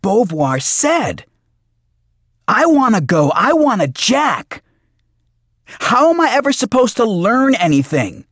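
A man's voice: on the low side, animated, normal speed, dry recording, studio quality, pitched above the performer's own voice, lightly acted. A man voicing a brash, impatient young man in an audiobook thriller, slangy and argumentative. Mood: indignant.

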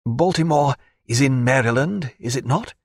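A man voicing a guarded, formal physician who speaks in a measured, dry, precise way. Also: medium pitch, no room sound, close to an everyday voice. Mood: probing. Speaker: doctor.

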